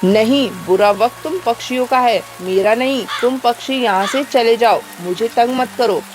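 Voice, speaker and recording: A woman voicing a proud female bird in a children's animated moral story, speaking in a dramatic, declamatory way. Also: on the high side, normal speed, background noise, natural voice. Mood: haughty, dismissive. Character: haughty, selfish female crow, later a remorseful heron.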